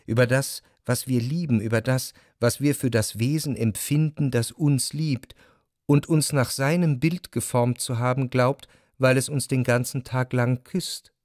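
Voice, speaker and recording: An actor playing a reflective, well-off man of letters, narrating a literary radio play in a measured, analytical way. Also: medium pitch, steady tone, a little mellow, dry recording, clean studio sound, natural voice. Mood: contemplative. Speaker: first-person narrator.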